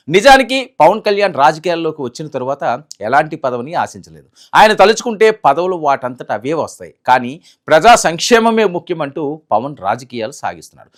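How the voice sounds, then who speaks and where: A man narrates scripted political news analysis as a presenter, emphatically, letting sentence endings trail off low.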